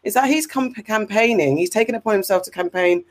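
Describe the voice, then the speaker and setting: Animated English woman hosting a chat. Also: medium pitch, quick pace. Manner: animated, rambling, frequent fillers and restarts. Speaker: podcast host. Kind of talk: casual discussion.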